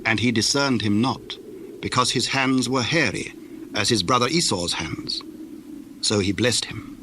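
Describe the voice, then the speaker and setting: A man narrating scripture from a script in a measured, formal way. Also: mid-range voice, pushed and bright. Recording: faintly noisy old recording.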